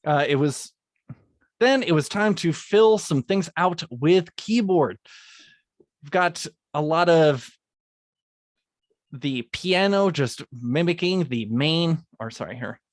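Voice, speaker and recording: A man's voice: on the high side, lively intonation, slow, long pauses, no room sound, clean studio sound. A man, a music composer, explaining how he built his theme piece, partly reading and correcting himself as he goes. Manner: restarts and corrects his script lines.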